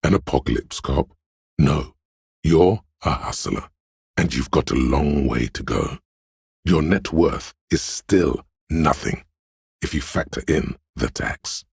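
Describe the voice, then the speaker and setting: A man voicing a slick, smug, money-minded inner voice in a video game, speaking in a suave, deliberate, theatrical way. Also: a little mellow, no room sound, clean studio sound, heavily acted. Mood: smug, coaxing. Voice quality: very deep, gravelly, husky. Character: slick hustling inner voice of a detective, smugly money-obsessed. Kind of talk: scripted game narration, theatrical and measured.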